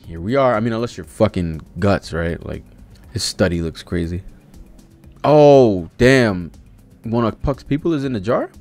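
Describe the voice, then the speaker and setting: Animated young American man, swinging pitch. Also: unhurried, soft background music. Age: young adult. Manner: animated, slangy, profane reactions. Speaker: streamer reacting to a manga. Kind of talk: casual live read-along commentary. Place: home studio room with streaming setup. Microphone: desk microphone close by.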